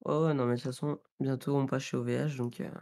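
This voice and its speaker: A man's voice: medium pitch, level tone, quick pace, darkish tone. Hesitant man in casual voice chat. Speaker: game server administrator. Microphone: voice chat over computer mic.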